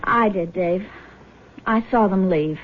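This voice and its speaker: An actress playing an affectionate young woman in a radio drama, a devoted daughter who speaks gently and expressively. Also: mid-range voice, slow pace, slight background noise, lightly acted. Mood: curious, sympathetic. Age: young adult. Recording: muffled old radio drama recording.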